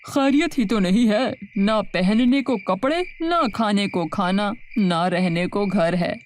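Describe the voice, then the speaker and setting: A woman's voice: mid-range voice, takes it slowly, a little hiss or hum, close to an everyday voice. A woman voicing a greedy, scheming village man in a cartoon story. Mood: cunning.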